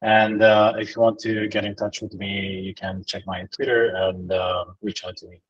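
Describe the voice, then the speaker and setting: A man's voice: on the low side, flat delivery, moderate pace, husky, no echo. A young man from Romania, introducing himself as a trainer on a video call, hesitant with many fillers. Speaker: course trainer. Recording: dull dry call audio.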